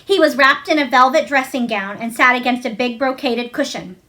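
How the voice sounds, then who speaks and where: Woman reading a kids novel out loud, pretty high voice. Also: a little fast. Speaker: storybook reader. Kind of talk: read-aloud children's novel narration.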